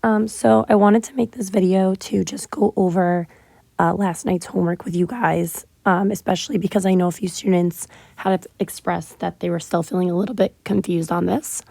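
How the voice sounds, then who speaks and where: A woman teacher, sick and hoarse, patiently walking her students through math homework step by step with frequent ums. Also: fairly low pitch, steady tone, normal speed, warm tone, dry recording. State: sick, partly lost voice. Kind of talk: recorded math lesson walkthrough.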